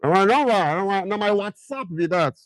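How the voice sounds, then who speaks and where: Middle-aged Nigerian man hosting a chatty live Q&A, fairly high voice, dry close sound. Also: animated, a little fast, no room sound. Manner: chatty, fires questions at viewers, slips into pidgin. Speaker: livestream host. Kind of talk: casual livestream chat with viewers.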